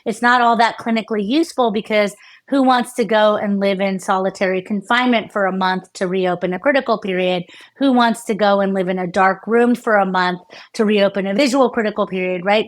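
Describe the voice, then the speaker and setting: An American woman neuroscientist explaining her research in a conversational interview, animated and full of fillers and restarts. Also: mid-range voice, fairly quick. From United States. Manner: animated, many fillers and restarts, laughs often. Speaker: neuroscientist guest.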